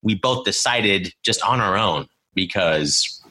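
A man's voice: fairly low pitch, expressive, slow pace, sharp tone, breathy edge, poor audio. Joking male podcast co-host. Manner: joking, swears, self-deprecating. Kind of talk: casual banter.

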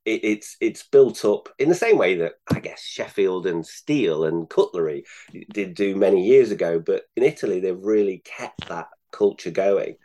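Man, interview guest, slightly low pitch, casual explanations, measured, hesitant pauses. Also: expressive, warm tone. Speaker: guest, sales director.

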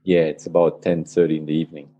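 Male guest, forties, deep voice, hesitant storyteller. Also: little pitch movement, fast, dark tone, lo-fi sound. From Austria. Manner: hesitant, with fillers and restarts. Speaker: podcast guest. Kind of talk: casual interview chat.

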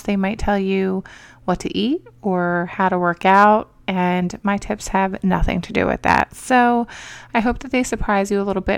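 A woman hosting a motivational podcast in an upbeat, encouraging, chatty way, her voice slightly raspy from a cold. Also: mid-range voice, dry recording. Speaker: podcast host.

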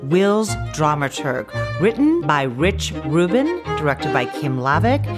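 A woman's voice: low voice, very animated, slow. A woman announcer reading a scripted introduction for a theatre podcast. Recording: prominent guitar and fiddle music behind. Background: guitar and fiddle music.

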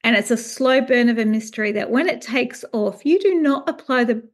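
A woman hosting a book interview, speaking in an effusive, expressive way. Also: a little high, fairly quick. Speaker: interview host.

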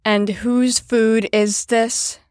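A woman's voice: a little high, little pitch movement, drawn-out words, darkish tone, clean tone, no echo. A woman in an audio drama playing a firm carer on a patient ward, with a dry, exasperated manner. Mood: firm, stern.

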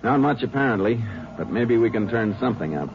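An actor playing a calm, methodical Texas Ranger who narrates the case in a 1950s radio drama, plain-spoken and steady. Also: male voice, medium pitch, brisk, close to an everyday voice. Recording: dull lo-fi old radio recording with music.

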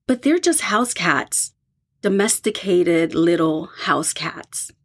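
A woman's voice: lowish voice, lively intonation, slow, husky, heavily acted. A woman playing an easygoing, curious young roommate with a casual, playful way of talking in an audio drama. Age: young adult. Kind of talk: horror audio drama dialogue.

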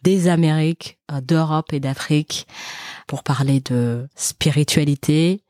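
A woman's voice: sits low, measured pace, a little mellow, no echo. A woman co-hosting a podcast, a native Kinyarwanda speaker. She is playful and upbeat and slips into easy laughter. The recording is close and dry. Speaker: podcast co-host. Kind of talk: casual conversational podcast.

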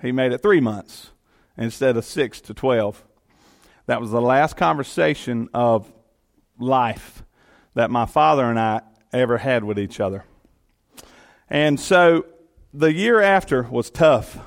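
A middle-aged man with a Southern Georgia accent tells his personal testimony to an audience. His delivery is earnest and folksy, and his voice sits at an ordinary middle pitch for a man. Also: lively intonation, slow, vocal fry, pauses often. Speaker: county commission chairman.

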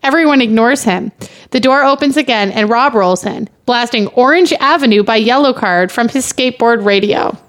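A woman's voice: fairly high pitch, moderate pace. A woman narrating screenplay stage directions at an informal comedy table read. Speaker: guest reader of stage directions.

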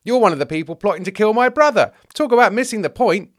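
Man playing a touchy, sarcastic disciple, very animated. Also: fairly high pitch, moderate pace, no room sound, studio quality, voice pushed up, lightly acted. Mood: defensive. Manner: snide, preachy. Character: touchy, sarcastic, self-righteous male disciple.

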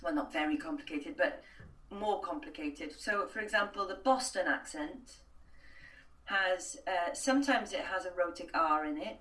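A woman's voice: fairly low pitch, sharp tone. A woman working as a voice coach, a Welsh speaker, answering interview questions in a chatty way with many restarts and fillers. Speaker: voice and accent coach. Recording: distant, roomy sound.

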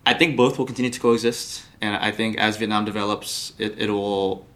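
A man's voice: fairly low pitch, fairly even intonation, fairly quick, fairly bright tone. A young man from the United States, a podcast guest, answering thoughtfully with many fillers and restarts. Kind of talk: podcast interview.

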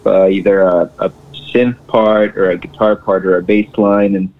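A man from Mexico, a band's singer and guitarist, answering interview questions in a relaxed way with many restarts. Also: deep voice, normal speed. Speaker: band vocalist and guitarist. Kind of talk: casual interview. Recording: tinny phone line.

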